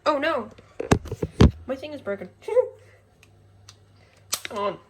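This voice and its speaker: A woman's voice: sits high, big pitch swings, extremely slow, far from the mic. A woman with a nasal voice chatting casually through a get-ready-with-me vlog, rambling with lots of fillers and self-corrections as she moves around her home. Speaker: vlogger. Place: home kitchen and rooms, indoors. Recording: echoey room, voice off-mic, household noise.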